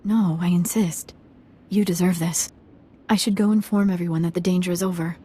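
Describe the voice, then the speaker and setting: An actress playing a dignified village matriarch in a video game, earnest, measured and formal. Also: female voice, fairly low pitch, fairly even intonation, warm tone, husky. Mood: grateful, earnest. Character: solemn, dignified village matriarch, grieving yet resolute. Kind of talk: video game drama acting.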